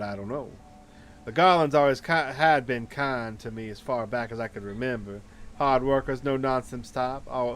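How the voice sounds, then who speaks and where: A man's voice: medium pitch, fairly bright tone. A man reading a visual novel aloud as a let's player, stumbling now and then and adding casual commentary. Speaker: video game let's player. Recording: clear background noise and ambience.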